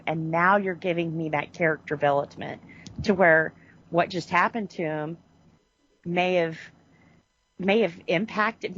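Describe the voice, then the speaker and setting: A woman co-hosting a podcast, chatting casually about a TV series in an animated way, full of restarts and "you know". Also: on the low side, muffled recording. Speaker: podcast co-host. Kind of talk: casual chat reviewing a TV series.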